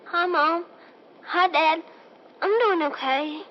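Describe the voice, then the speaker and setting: A woman's voice: very high-pitched, slow pace. An actress playing a nervous young country girl in a radio drama. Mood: subdued. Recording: dull old-radio sound, music behind.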